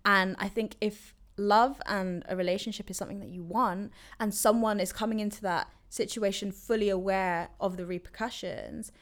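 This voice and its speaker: A woman's voice: mid-range voice, fairly even intonation, normal speed, few pauses. A woman hosting a casual interview chat, encouraging and supportive toward her guest. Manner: warm, encouraging. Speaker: host.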